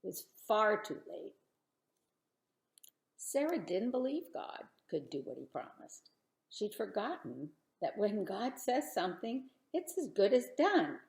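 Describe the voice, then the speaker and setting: Slow, highly expressive woman storyteller. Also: gravelly, reverberant. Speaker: bedtime story reader. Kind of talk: expressive children's storybook narration.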